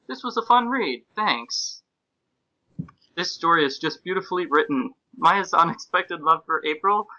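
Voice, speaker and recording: A man's voice: a little high, lively intonation, measured pace, bright, forceful tone, muffled recording. A man reading fan fiction and reader comments aloud in a casual, comic podcast style.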